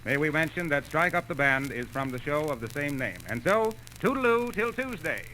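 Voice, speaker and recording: Very bright, forceful male radio announcer. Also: a little high, expressive, moderate pace, a little hiss or hum, rough recording. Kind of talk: 1940s radio sponsor announcing.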